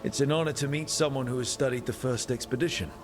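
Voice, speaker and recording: An actor playing an earnest young adventurer asking polite, curious questions. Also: male voice, medium pitch, steady tone, fast, lightly acted. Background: music.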